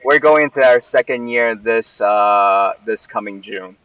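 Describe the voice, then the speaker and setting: A man's voice: on the low side, measured pace, fairly bright tone. A man from Puerto Rico, a venue owner, answering interview questions in a rambling way with many fillers and restarts. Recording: distant, dull, lo-fi mic.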